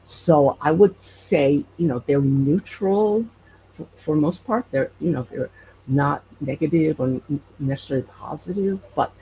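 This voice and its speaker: A man from Hawaii telling stories casually as an interview guest, halting and searching for words as he goes. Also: on the high side, dark tone. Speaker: podcast guest telling local legends. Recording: dull lo-fi audio, faint noise.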